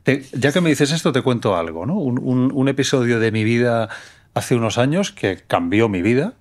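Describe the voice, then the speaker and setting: A man's voice: medium pitch, animated, normal speed. A middle-aged man from Menorca with an accent from Spain, speaking in a reflective, conversational interview style, feeling his way and repeating words for emphasis. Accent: Spanish from Spain.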